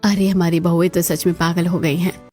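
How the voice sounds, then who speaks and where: A woman's voice: fairly low pitch, little pitch movement, normal speed, darkish tone, soft background music, close to an everyday voice. A woman voicing housewives and mothers-in-law in a family cartoon, chatty and exclamatory. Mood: grumbling. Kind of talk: scripted cartoon dialogue.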